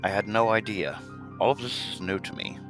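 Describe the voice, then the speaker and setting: A man playing a mild, reasonable craftsman in an audio drama, gentle and advising in manner. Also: on the low side, fairly even intonation, a little fast, over music, close to an everyday voice. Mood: curious. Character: mild, reasonable male craftsman, helpful and curious. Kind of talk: amateur audio drama acting.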